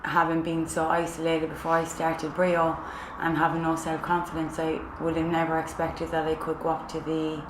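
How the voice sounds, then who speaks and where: A woman with a Cork accent, a peer trainee, speaking carefully with lots of pauses. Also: sits low, completely flat delivery. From Cork, Ireland. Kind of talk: recorded testimony. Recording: echoey room with faint noise.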